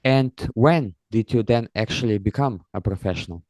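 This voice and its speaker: A man's voice: lowish voice, normal speed, darkish tone, no room sound. A man hosting a podcast who opens with an intro and then asks interview questions in long, structured sentences. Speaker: podcast host.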